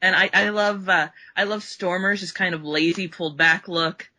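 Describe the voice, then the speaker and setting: A woman's voice: lowish voice, steady tone, moderate pace, pushed and bright. A woman co-hosting a podcast, chatting casually and gushing with enthusiasm. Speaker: podcast co-host. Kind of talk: casual chat.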